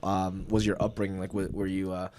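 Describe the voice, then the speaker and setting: A man's voice: low voice, flat delivery, fairly quick, darkish tone, slight background noise. A man co-hosting a podcast, talking bluntly and swearing casually. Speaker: podcast co-host. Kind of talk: casual chat.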